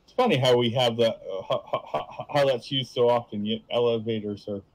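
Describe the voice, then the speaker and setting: A man with an average-pitched voice chats casually as the second commentator on a game stream. He is easygoing and somewhat hesitant, circling back over his words as he makes a point. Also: a little fast, poor audio. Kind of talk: casual chat.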